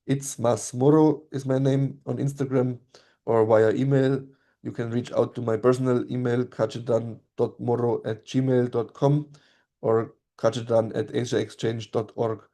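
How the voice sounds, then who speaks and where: A man whose first language is German, presenting an online study-abroad info session in a steady voice with frequent ums. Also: medium pitch, unhurried. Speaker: study abroad program representative.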